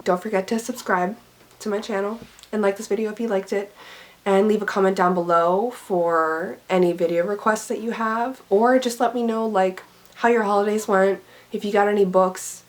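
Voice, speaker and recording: A young woman chatting casually about books, a little raspy and scratchy from being sick, rambling with lots of "like" and "um". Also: medium pitch, fairly even intonation, normal speed. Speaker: book vlogger. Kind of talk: casual chat to camera.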